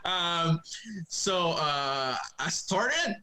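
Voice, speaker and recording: A young man from Quebec with a French-Canadian accent, speaking English as a second language, chatting haltingly in a remote podcast interview. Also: on the high side, very animated, a crowd in the background, rough recording. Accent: Québécois French. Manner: halting, many fillers and restarts. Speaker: guest comic writer. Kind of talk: casual interview chat.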